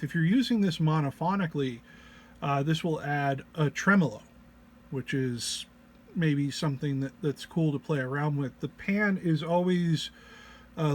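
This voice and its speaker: A man giving an informal technical walk-through as a presenter, sounding low-energy and under the weather, with a hesitant, halting delivery. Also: a little mellow. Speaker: presenter demonstrating an audio effects patch. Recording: clear background noise, single mono microphone.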